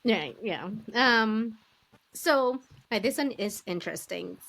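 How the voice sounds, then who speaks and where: Woman hosting a podcast, voice on the high side n really up and down, casual questions kinda pieced together. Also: animated, slow pace, gravelly, fairly bright tone, rough recording. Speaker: podcast host, interviewer. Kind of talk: casual interview chat.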